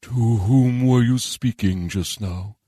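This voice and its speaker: A man's voice: mid-range voice, slow pace, mellow, husky, no room sound, studio quality, pitched above the performer's own voice. A man voicing a great, noble male dragon in an audiobook, speaking in a grave, stately and solemn manner. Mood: grave, concerned. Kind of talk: audiobook character voice.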